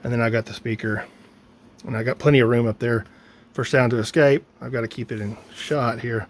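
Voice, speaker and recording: A man's voice: mid-range voice, fairly even intonation, fairly quick. A man giving a casual hands-on how-to, thinking aloud informally as he works. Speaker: DIY radio hobbyist presenter. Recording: clear background noise, ambient sound.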